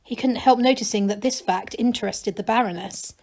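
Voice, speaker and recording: A woman's voice: fairly high pitch, a little fast, a little mellow. A woman reading a children's story aloud as a teacher, narrating expressively.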